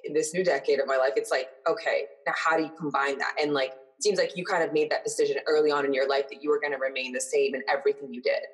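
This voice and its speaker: A woman's voice: low voice, fairly even intonation, fast talker, few pauses, breathy edge, poor audio. An American woman on a podcast, speaking thoughtfully in a casual chat with many "you know" fillers. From United States. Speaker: podcast host.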